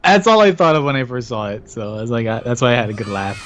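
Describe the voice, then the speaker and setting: A man's voice: mid-range voice, very animated, fast talker, a bit bright, slight background noise. A man chatting casually and jokingly as guest co-commentator on a game stream. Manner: joking, giggly. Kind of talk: casual chat. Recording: muffled voice-chat audio, music behind. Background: game music.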